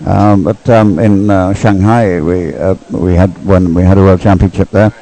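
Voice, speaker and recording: A man's voice: deep voice, level tone, normal speed, mellow, poor audio. A man giving unscripted live race commentary in a rambling, hesitant way, often thinking aloud. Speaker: sports commentator.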